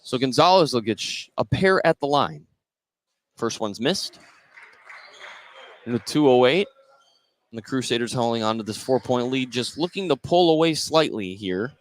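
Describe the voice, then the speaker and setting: A man calling live play-by-play of a high school basketball game for radio. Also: lively intonation, normal speed, long pauses. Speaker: play-by-play commentator. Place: courtside at an indoor basketball game.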